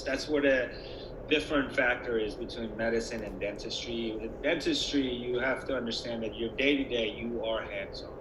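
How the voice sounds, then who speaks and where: Echoey male dentist presenting informally. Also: mid-range voice. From born and raised in Iran until age ten, then the United States. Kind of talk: informal online presentation to students. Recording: echoey room with clear background noise.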